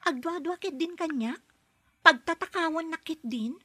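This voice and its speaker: A woman's voice: very high-pitched, moderate pace, pushed and bright, no room sound, studio quality. An actress playing a young woman working as a nanny abroad, firm and defiant toward her boss, in a radio drama. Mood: confiding. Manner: firm, pushes back politely.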